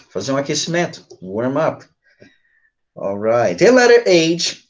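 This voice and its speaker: Male online English teacher, Brazilian accent, forties, medium-pitched voice, patient explainer. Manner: frequent checking tags, patient step-by-step explaining. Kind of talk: online lesson, explaining and switching between Portuguese and English. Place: small room at home or study with bookshelves. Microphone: computer mic on a video call.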